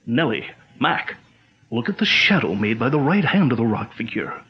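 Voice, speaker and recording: An actor playing a steady, commanding male adventurer leading an expedition, in a measured 1940s radio drama style. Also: mid-range voice, close to an everyday voice. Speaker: expedition leader. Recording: dull lo-fi old radio sound.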